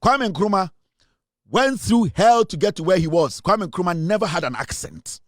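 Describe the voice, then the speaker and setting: A man's voice: high-pitched, expressive, moderate pace, no room sound, clean studio sound. A man from Ghana with a Ghanaian English accent, giving an emphatic commentary monologue and repeating his points for stress. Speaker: online commentator.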